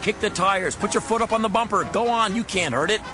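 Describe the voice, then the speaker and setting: A man acting out a slippery car salesman in a radio play, his voice pitched high. He rattles off wordy, folksy hard-sell patter and puts on indignation, with music playing behind him. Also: fairly quick, close to an everyday voice. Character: fast-talking pushy used-car salesman, folksy and slippery.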